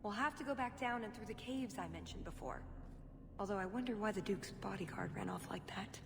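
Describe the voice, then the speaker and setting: A woman's voice: medium pitch, brisk, creaky, lightly acted. An actress playing a cheeky, self-assured female spy with a breezy, wry manner in a video game. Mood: matter-of-fact. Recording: reverberant hall, noisy ambience behind.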